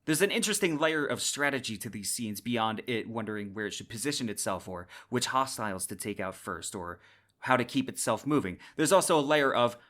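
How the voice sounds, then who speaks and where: A man's voice: mid-range voice, animated, fairly quick, fairly bright tone. A man hosting a podcast, explaining science fiction in a scripted, wry and emphatic way. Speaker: podcast host.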